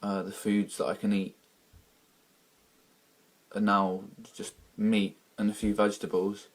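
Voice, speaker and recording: A man from Wales, speaking in a halting, emotional video diary while distressed and close to tears. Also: on the low side, completely flat delivery. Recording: old archival video clip.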